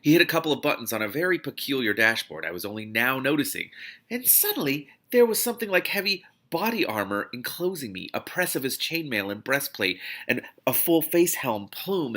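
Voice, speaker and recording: A man reading a novel aloud expressively as host, animated and given to breaking off with excited reactions. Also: mid-range voice, a little fast. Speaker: host of a read-aloud book series.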